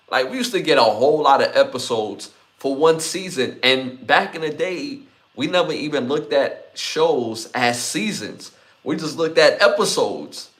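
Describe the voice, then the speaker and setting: A man's voice: medium pitch, a bit bright. A man in his forties hosting a podcast, talking straight to his live audience with emphatic, repeated appeals. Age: 40s. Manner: emphatic, repeats himself, talks to chat. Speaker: podcast host. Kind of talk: solo podcast commentary.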